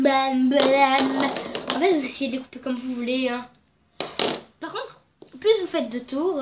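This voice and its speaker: A woman's voice: high-pitched, a little hiss or hum. A young woman with a stuffy nose from a cold, chatting playfully and rambling through a craft tutorial filmed at home on her phone. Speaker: craft vlogger. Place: at home indoors. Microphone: phone camera.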